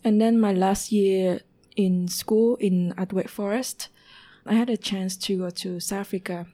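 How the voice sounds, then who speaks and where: Guest, woman in her 30s, soft dark tone, unhurried and searching for words. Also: medium pitch, steady tone. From Vietnam. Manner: unhurried, pausing to find words, with fillers. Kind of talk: telling her life story in an interview.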